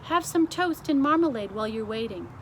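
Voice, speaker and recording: A woman reader puts on a storybook queen, brisk and imperious. She speaks emphatically and deliberately, unflappable and used to being obeyed, over light background noise. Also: fairly high pitch, lively intonation, close to an everyday voice. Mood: commanding.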